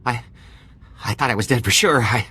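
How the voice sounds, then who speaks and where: An actor playing a timid, panicky accountant on the run in a video game, shaken, breathless and stammering. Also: male voice, mid-range voice, normal speed, pushed and bright, husky. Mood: shaken, nervous. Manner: nervous, stammering. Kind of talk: video game cutscene acting.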